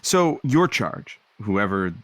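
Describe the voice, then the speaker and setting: A man's voice: on the low side, sing-song, slow pace, a bit bright, no echo, full character voice. Man playing a wry, flustered radio host. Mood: curious, teasing. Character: flustered, snarky male producer standing in as radio host. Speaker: stand-in radio show host. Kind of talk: improvised comedy advice phone-in.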